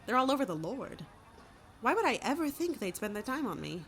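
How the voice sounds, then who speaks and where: A woman's voice: on the high side, sing-song, a little fast, fairly bright tone, a little hiss or hum. An actress playing a plainspoken, incredulous village woman in an audio drama.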